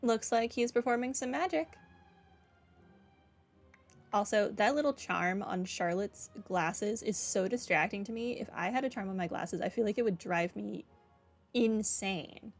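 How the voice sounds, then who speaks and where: A woman's voice: mid-range voice, a little slow, faint music behind. A woman giving casual commentary as she plays through a video game. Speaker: game streamer.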